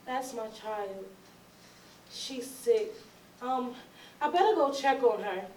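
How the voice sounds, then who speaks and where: A woman's voice: on the high side, slow, a little mellow. A woman playing a guarded, wistful, unhappy forty-year-old in a readers theatre drama, speaking hesitantly in folksy phrases. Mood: uneasy. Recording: roomy, distant, noisy recording.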